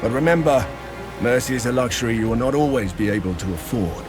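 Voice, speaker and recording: A man's voice: mid-range voice, lively intonation, fast talker, over music, lightly acted. A man playing a seasoned, wry Roman centurion and mentor in a video game, bluff and commanding. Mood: commanding, instructive. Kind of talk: scripted video game acting.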